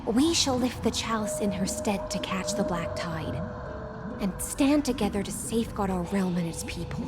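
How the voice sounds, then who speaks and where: An actress playing a brave, sisterly young sea siren leader in a fantasy game, with an earnest, rallying delivery. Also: female voice, mid-range voice, animated, moderate pace, loud music behind the voice, natural voice. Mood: resolute. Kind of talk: dramatic fantasy game acting.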